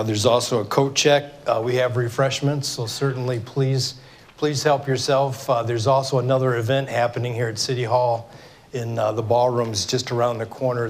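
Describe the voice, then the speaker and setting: A middle-aged man with a Michigan accent and a scratchy voice from a cold, running a town hall meeting in a loose, filler-heavy way. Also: mid-range voice. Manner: informal, many fillers and restarts. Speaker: mayor moderating a town hall. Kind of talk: public meeting address. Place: city hall meeting room. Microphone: microphone for televised recording.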